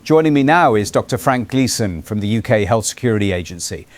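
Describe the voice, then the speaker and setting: A man's voice: lowish voice, lively intonation, a little fast, close to an everyday voice. A man playing a serious radio news anchor in an audio drama, delivering breaking news in a composed, formal, urgent way. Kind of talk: scripted news bulletin. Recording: light background ambience noise.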